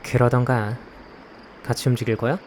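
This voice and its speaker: A man acting a dry, grumbling young man in a visual novel, curt and deadpan, with rain and crickets behind him. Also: mid-range voice, moderate pace, slight background noise, natural voice. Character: dry, grumbling young man, reluctant occult investigation helper. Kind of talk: visual novel acting with first-person narration.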